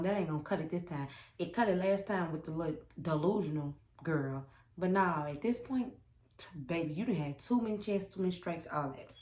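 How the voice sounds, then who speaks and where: A young woman with a low voice chats casually as a storytime vlogger. She is sassy and emphatic, often repeating herself to make a point. The room around her adds a noticeable echo. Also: darkish tone. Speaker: vlogger telling a personal story. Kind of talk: casual storytime chat to camera. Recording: roomy echo.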